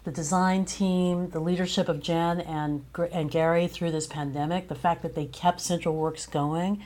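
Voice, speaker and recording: Female interview guest, low register, conversational, effusive and emphatic in praising collaborators. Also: fairly even intonation, moderate pace, darkish tone. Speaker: guest, playwright and director.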